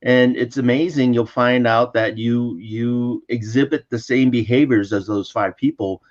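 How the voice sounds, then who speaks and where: A man's voice: medium pitch, steady tone, a little slow. A man chatting casually over a remote call, laughing easily and circling back on his words. His voice sits in a middle range, and the call audio sounds a little muffled and lo-fi. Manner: laughs often, repeats words while searching. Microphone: remote call mic.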